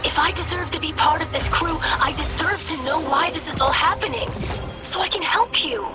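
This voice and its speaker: A woman's voice: high voice, moderate pace, close to an everyday voice. An actress playing an earnest, haunted young crew member in a video game, a woman with an emotional, insistent manner. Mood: defiant. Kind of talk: dramatic video game acting. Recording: loud music over the voice.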